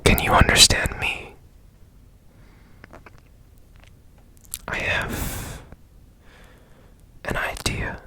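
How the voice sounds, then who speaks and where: A man playing a strange, secretive, tenderly curious repairman in an ASMR roleplay, in a breathy, halting whisper up close to the mic. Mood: curious, musing. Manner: slow, halting, hushed, lingering on phrases.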